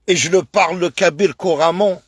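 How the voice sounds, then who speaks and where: Man from France, high-ish voice, slow and insistent, streaming live into a close phone mic with dry sound. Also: husky, no room sound. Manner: emphatic, repetitive, addresses viewers as brother. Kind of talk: casual live-stream rant.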